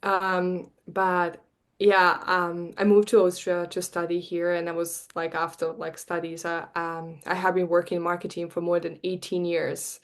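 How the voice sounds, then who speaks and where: A woman in her forties from Bosnia, speaking as a podcast guest, earnest and heartfelt with a slightly halting delivery. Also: lowish voice, monotone. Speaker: guest, marketing coach and energy healer. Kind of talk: podcast interview.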